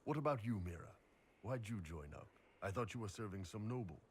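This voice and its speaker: An actor playing an easygoing mercenary captain in a video game, talking bluntly and casually. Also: male voice, fairly low pitch, expressive, brisk, a little hiss or hum, lightly acted. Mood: blunt banter. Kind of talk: scripted video game dialogue.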